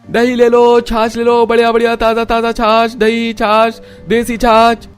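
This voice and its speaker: A man's voice: extremely high pitch, completely flat delivery, measured pace, clear, resonant tone. A man playing a kindly, hardworking young father in a cartoon story. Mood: calling out. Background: music.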